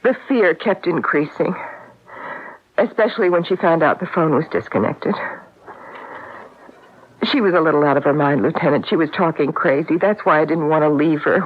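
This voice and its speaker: Guilt-stricken grieving wife, tinny radio. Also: female voice, sits low. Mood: distraught. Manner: anguished, dramatic, halting self-reproach. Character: guilt-stricken married woman, stage actress grieving her friend. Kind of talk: old-time radio drama acting. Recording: tinny, narrowband radio drama recording.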